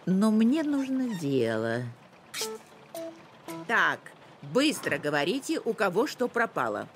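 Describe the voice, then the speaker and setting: A woman's voice: medium pitch, sing-song, slow. A woman playing a self-important, suspicious amateur detective and writer in a cartoon, pompous and theatrical as she interrogates. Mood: brisk, suspicious. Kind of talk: animated cartoon acting with narration. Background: music.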